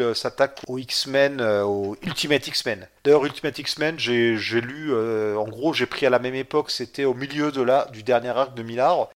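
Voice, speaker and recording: A man from France chatting on a comics podcast, talkative and wry, with frequent fillers. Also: mid-range voice. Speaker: podcast co-host. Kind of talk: casual comics podcast chat.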